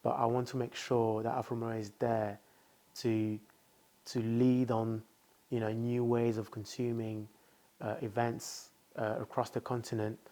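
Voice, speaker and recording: A man's voice: mid-range voice, little pitch movement, unhurried, warm tone. A young man from France, a company founder, talking as a guest in a casual interview, thoughtful and hesitant, with many fillers.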